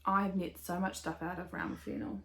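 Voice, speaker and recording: A woman's voice: on the low side, level tone, fairly quick, a bit bright, slight background noise. A woman in her thirties with an Australian accent, hosting a casual knitting podcast, talking upbeat and rambling with lots of fillers and little laughs. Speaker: podcast host.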